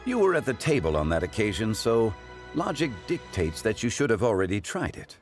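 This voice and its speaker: A man voicing an ancient, learned gentleman who speaks in a measured, formal, philosophical way. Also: on the low side, very animated, music in the background. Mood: composed.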